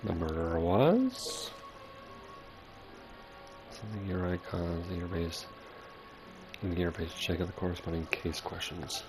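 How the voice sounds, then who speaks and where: A man's voice: low voice, animated, measured pace, long pauses, over music. A man playing through a game, reading dialogue aloud with casual asides and some stumbles. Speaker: gamer making a playthrough. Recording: clearly noisy background.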